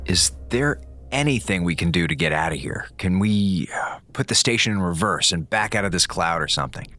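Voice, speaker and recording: A man's voice: low-pitched, lively intonation, fairly quick, pushed and bright, faint music behind. A man playing a sarcastic, jittery everyman crewman in a science fiction audio drama, with a wry, informal and nervous delivery. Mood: nervous, skeptical.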